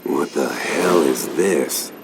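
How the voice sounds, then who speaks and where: A man voicing a menacing, arrogant fighter villain in an English-dubbed video game, with a gravelly voice. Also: extremely low pitch, takes it slowly, background noise, heavily acted. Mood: gruff, menacing.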